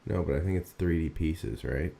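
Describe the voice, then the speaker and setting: A man with a low, deep voice co-hosts a laid-back talk show. He laughs often and swears freely as he jokes with his friend. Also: moderate pace, creaky, warm tone. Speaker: podcast co-host. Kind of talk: casual chat.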